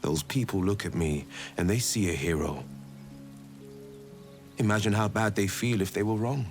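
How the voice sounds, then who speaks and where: An actor playing a swashbuckling, boastful young monster hunter in a fantasy video game, with charming, theatrical flourishes. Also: male voice, on the low side, normal speed, faint music behind, lightly acted. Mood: earnest.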